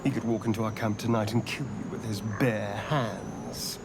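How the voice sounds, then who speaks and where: An actor voicing a haughty, anxious vampire spawn on the run in a video game, theatrical and sardonic. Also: male voice, lowish voice, level tone, moderate pace, creaky, noisy background. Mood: agitated scorn.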